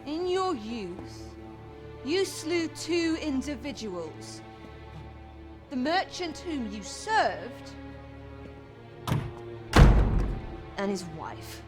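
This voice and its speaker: An actress playing a seductive, vengeful masked madam in a fantasy game, speaking in measured, theatrical, archaic phrases. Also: female voice, high voice, sing-song, over music, full character voice. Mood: cold, accusing. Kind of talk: fantasy video game voice acting.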